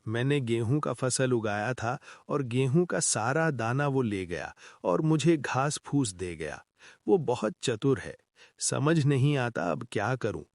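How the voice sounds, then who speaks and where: An older, hardworking village farmer, voiced by a man in a cartoon story, earnest and a little pleading. The voice is gravelly, with middle pitch. It is recorded dry. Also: lively intonation, a little slow, no echo. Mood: aggrieved.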